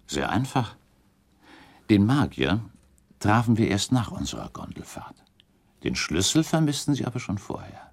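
Deep, creaky-voiced gentleman accuser. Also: huge pitch swings, exaggerated voice. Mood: shrewd, insistent. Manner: formal, deliberate, insistent questioning. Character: probing gentleman accuser, shrewd, composed, relentless cross-examiner. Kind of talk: German radio play acting, formal period dialogue.

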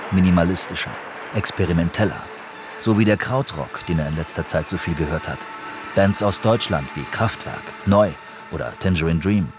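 A man narrating a scripted radio documentary in terse, measured phrases. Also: low voice, background noise.

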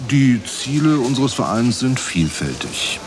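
A man's voice: mid-range voice, big pitch swings, measured pace, crowd noise. A man playing a self-important association president in a radio drama, answering an interview in a patronizing, lecturing tone. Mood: smug. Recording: clearly noisy background.

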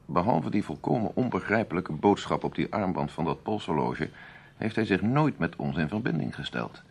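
A grave, authoritative major, with a low voice that swings expressively. He is measured and emphatic, with a husky edge, as in a radio thriller. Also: big pitch swings, heavily acted. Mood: grave, persuasive. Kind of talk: Dutch radio play acting.

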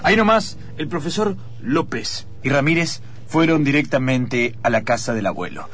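A man's voice: mid-range voice, expressive, normal speed, a bit bright, slightly rough. A man narrating an improvised radio theatre story.

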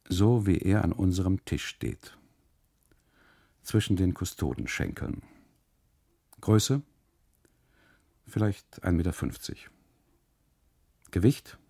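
A man narrating a literary radio monologue in the first person, deliberately, in short phrases broken by pauses. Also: deep voice, gravelly, warm tone, husky.

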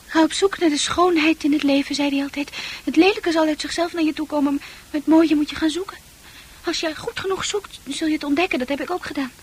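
Casting: woman to play dreamy, troubled young female confidante for Dutch radio drama, high-pitched, poetic and earnest. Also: fairly even intonation, fast, close to an everyday voice. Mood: wistful, earnest.

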